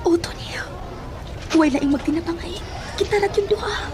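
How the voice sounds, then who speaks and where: A woman acts out a young daughter, anxious and suspicious, in a horror audio drama. Her voice sits high, and music plays clearly underneath. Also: moderate pace. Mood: fearful, determined.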